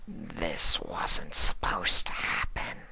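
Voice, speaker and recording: A narrator voicing a guilt-ridden changeling creature in a slow, halting, breathy whisper, hushed and pleading, for a fan fiction story. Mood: guilty, anguished.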